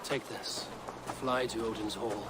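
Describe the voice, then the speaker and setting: A man playing a proud, fierce Viking warrior leader in a video game cutscene, speaking with grave, deliberate delivery. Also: on the low side, fairly even intonation, very noisy, lightly acted. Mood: solemn, hushed. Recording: music behind the voice.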